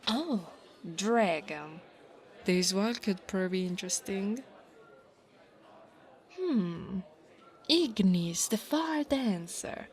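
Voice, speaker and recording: Woman acting a slow, taunting dragon-girl bully. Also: medium pitch. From Italy. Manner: taunting, slow drawling delivery. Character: insecure, mocking young female dragon bully. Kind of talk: ASMR roleplay.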